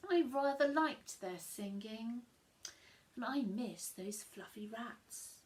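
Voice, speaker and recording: A gushing, weepy neighbour from a picture book, played by a woman reader. The delivery is theatrical and slow, with a high voice that swoops about in sentiment. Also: animated. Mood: sorrowful gushing. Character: gushing, sentimental neighbour, tearful pirate fan.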